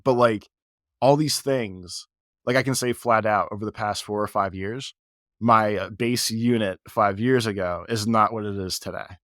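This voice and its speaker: A man with a low voice and a stuffy, congested nose from a cold, rambling through a casual podcast chat. His talk wanders and is packed with fillers. The sound is close and dry, with no room around it. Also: normal speed, a bit bright, no room sound. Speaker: podcast co-host.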